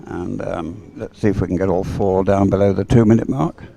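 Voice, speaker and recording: A man's voice: low-pitched, fairly even intonation, moderate pace, vocal fry, warm tone. A man giving unscripted live sports commentary in a hesitant, rambling way, as if thinking aloud.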